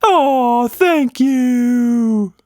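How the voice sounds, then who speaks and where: A man voicing an excitable, boastful, playful monster with casual, slangy talk in a children's story. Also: extremely high pitch, drawn-out words, dry recording, clean studio sound, pitched above the performer's own voice, heavily acted. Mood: pleased, showy.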